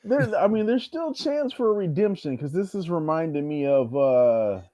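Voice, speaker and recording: A man's voice: a little high, animated, normal speed, mellow, no echo. A man chatting casually as a podcast guest, musing and hesitant. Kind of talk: casual chat. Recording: slightly dull microphone sound.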